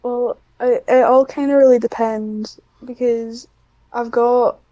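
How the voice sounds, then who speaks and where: A woman's voice: a little high, steady tone, takes it slowly, dark tone, dry recording, highs cut off. A young woman chatting casually as an interview guest, with a self-deprecating, dryly joking manner. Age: young adult. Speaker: podcast guest, script writer.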